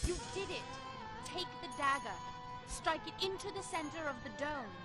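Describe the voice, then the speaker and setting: A high-voiced, spirited young princess. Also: female voice, expressive, moderate pace. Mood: reassuring, urgent. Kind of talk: dramatic video game acting. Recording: loud music behind the voice. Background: game soundtrack music.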